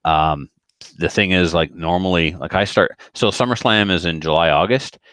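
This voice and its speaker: Low-voiced man in casual podcast chat. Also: normal speed, a bit bright, dry recording. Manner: rambling, colloquial, with acted-out quotes. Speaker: podcast speaker who organizes shooting matches.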